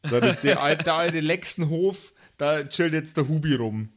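A man's voice: a little high, normal speed, fairly bright tone. A man narrating an improvised tabletop game as game master, in a playful, colloquial way. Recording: music behind the voice. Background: music.

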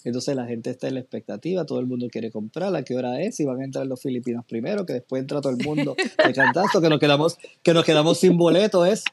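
A man with a Puerto Rican accent, talking as a pageant expert on a chat show, animated and enthusiastic in quick bursts. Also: mid-range voice, gravelly, slight background noise. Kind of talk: casual talk-show chat.

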